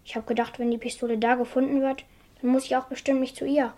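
A woman's voice: sits high, flat delivery, fast, dark tone, close to an everyday voice. An actress playing a wounded, earnest young girl confessing in short plain sentences in a 1970s radio crime drama. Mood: sad confession.

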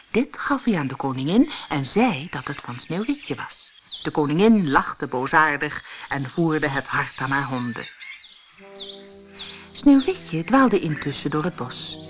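A woman narrating a scripted fairy tale audiobook for children in an expressive, deliberate way. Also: low-pitched. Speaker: storyteller.

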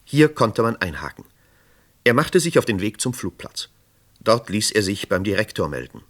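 A male narrator tells a crime story for a radio drama. His voice sits fairly low and moves expressively in pitch. The delivery is scripted, measured storytelling. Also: big pitch swings, fairly bright tone.

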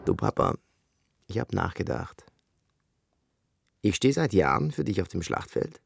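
Gravelly, husky warrior prince. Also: male voice, deep voice, sing-song, unhurried, no room sound, clean studio sound, exaggerated voice. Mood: disgruntled. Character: disgruntled warrior son of a Persian king. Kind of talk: comic radio play acting.

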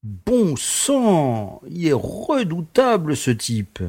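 A man's voice: on the high side, wildly swooping pitch, very slow, a little mellow, slightly rough, heavily acted. A man playing an impressed, wary fugitive in an audio drama. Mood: amazed.

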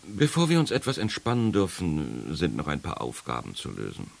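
An actor playing a sardonic guide of the dead in a radio play, speaking in a wry, gravelly, unhurried and instructing way. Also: male voice, very deep voice, huge pitch swings, exaggerated voice. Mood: matter-of-fact. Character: sardonic male guide leading the dead through trials. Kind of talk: 1980s German radio play acting. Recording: hissy early-1980s radio recording.

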